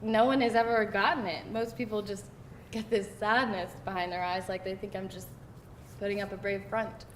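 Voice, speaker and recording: A woman's voice: steady tone, moderate pace, close to an everyday voice. A woman playing a candid, self-deprecating girlfriend in a casual, conversational screenplay read. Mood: relieved, candid. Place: live table read in a roomy indoor space. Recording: roomy live room, noisy rumble.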